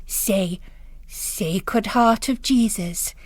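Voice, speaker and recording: A woman's voice: medium pitch, very slow, a little mellow, no room sound. A woman voicing an earnest, devout nun who talks in a frank, plain-spoken way. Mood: wry, pious.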